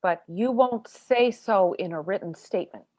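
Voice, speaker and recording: A woman's voice: medium pitch, normal speed, darkish tone, lightly acted. A woman acting an exasperated, combative military defense lawyer in a stage play read-through, pressing hard with sarcastic questioning.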